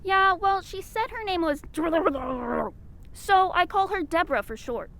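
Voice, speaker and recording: A woman's voice: extremely high pitch, expressive, unhurried, fairly bright tone, exaggerated voice. A woman playing a quirky, unflappable nursing home worker, breezy and matter-of-fact, in an audio drama.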